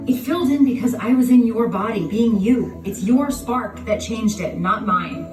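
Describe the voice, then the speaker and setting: A woman's voice: fairly high pitch, normal speed, voice pushed up, lightly acted. A woman voicing an anxious, childlike young female soul in a storybook, hesitant and earnest. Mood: downcast. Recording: roomy, distant sound with music behind.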